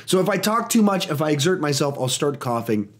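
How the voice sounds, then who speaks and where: A man in his thirties reviewing a film in a small home studio, animated and a little hoarse from a cold. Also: fairly high pitch. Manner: animated, gestures a lot, talks in quick bursts. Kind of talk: energetic spoiler movie review.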